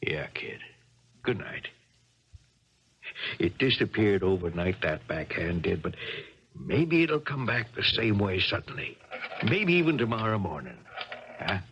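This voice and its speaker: An actor playing a gruff, pushy older father in a 1970s radio drama, with hesitant fillers. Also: fairly low pitch, big pitch swings, measured pace, pauses often, full character voice. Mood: fatherly, pushy. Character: gruff blustering father of a tennis star, older man. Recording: dull lo-fi radio broadcast.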